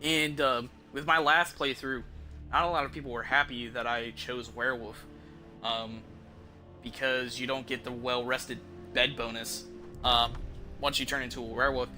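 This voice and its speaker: Guy streaming a game, really bright sharp voice, rambles casually, swears a bit, soft music behind. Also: medium pitch, little pitch movement, moderate pace. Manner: informal, mildly profane, thinking aloud. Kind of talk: casual rambling gameplay commentary.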